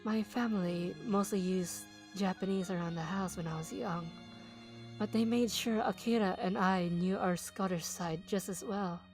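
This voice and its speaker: Polite blind teen girl, soft and composed; woman voices her over light background music. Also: medium pitch, steady tone, close to an everyday voice. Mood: gentle. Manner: soft, quiet, measured reading. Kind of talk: visual novel dialogue read aloud.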